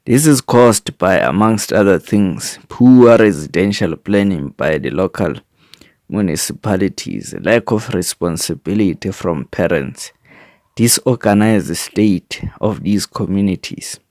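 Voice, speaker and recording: Slow-reading South African man, low voice. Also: animated, a little mellow, no room sound, studio quality. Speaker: reader of a sport development framework. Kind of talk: scripted reading of a manifesto.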